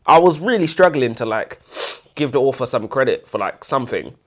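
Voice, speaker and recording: A man's voice: mid-range voice, lively intonation, brisk. A man co-hosting a casual book review, speaking bluntly with dry humour.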